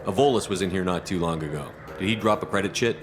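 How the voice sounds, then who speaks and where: A man's voice: low voice, fast talker, slight background noise, lightly acted. A man playing a resolute, commanding soldier hero in a video game, firm and direct. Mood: businesslike.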